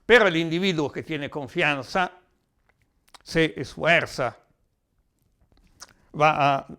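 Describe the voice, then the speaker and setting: Slow-paced Italian man lecturing, bright voice. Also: fairly high pitch, clean tone. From Italy. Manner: halting delivery, searching for words in a second language. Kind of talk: public talk, explanatory and reflective.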